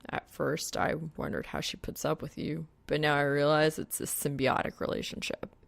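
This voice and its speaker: Woman as a low, grumpy, awkward young man. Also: level tone, normal speed, creaky, no echo. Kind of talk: audiobook character acting.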